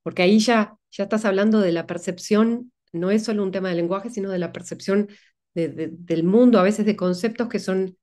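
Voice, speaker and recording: Woman at a forum q&a, thinks out loud, restarts a lot, mid pitch. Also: moderate pace. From Latin America. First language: Spanish. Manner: thinks aloud, tentative, many restarts. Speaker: invited speaker. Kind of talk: answering audience questions.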